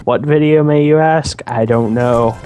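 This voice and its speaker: A man's voice: medium pitch, measured pace. A man chatting casually and jokingly as co-commentator on a video game. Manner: jokey. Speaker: let's play co-commentator. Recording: game music behind voice. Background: video game music.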